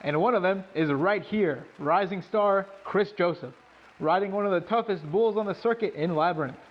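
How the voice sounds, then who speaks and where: 80s rodeo commentator, high voice, dramatic, slightly muffled broadcast. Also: male voice, moderate pace. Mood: excited, suspenseful. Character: keyed-up male rodeo commentator building suspense. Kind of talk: 1980s sports commentary acting.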